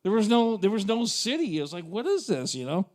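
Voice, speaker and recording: A man with an American accent and a fairly high voice hosts an open mic. He chats casually, circling back over phrases as he tells an animated anecdote. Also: brisk. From United States (Texas). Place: live open mic event at a coffee venue.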